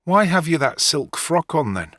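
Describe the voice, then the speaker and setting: Gruff, brooding young man, swinging intonation. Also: on the high side, very animated, moderate pace, fairly bright tone, no room sound, clean studio sound. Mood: suspicious. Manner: gruff, resentful. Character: sullen, wounded young farmhand in love, brooding. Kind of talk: dramatic audiobook dialogue.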